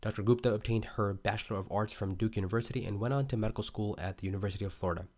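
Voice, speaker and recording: A man's voice: on the low side, fairly even intonation, very fast, a little mellow. A male podcast host who reads a guest introduction and then asks loose, rambling questions full of fillers. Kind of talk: read intro, then casual interview chat.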